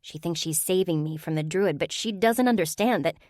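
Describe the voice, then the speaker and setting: A woman's voice: fairly low pitch, brisk, a little mellow, dry recording, clean studio sound, close to an everyday voice. A woman voicing a frightened, pleading young woman. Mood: anxious. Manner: anxious, pleading.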